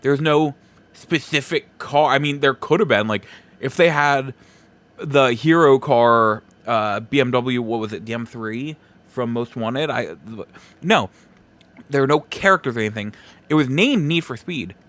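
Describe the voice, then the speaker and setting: A man's voice: mid-range voice, a little slow, fairly bright tone. A man hosting a solo podcast, giving opinions in an informal, conversational and emphatic way. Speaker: podcast host.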